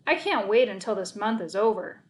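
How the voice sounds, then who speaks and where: A woman voicing an eager boy in a children's storybook, excitable and given to complaining. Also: medium pitch, normal speed, natural voice. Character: eager, grumbling boy desperate to earn money.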